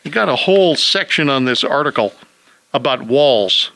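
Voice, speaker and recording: A man's voice: medium pitch, lively intonation, normal speed, sharp tone, no room sound. A man hosting a home-improvement radio call-in show, chatting casually and cracking jokes. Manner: joking, wisecracking.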